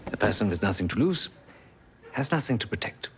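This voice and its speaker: An actor playing a fussy, courteous, sly tech expert in a video game, speaking formally and theatrically with precise diction. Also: male voice, fairly low pitch, big pitch swings, fairly quick, breathy edge, heavily acted. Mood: wry, dignified. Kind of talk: video game cutscene acting.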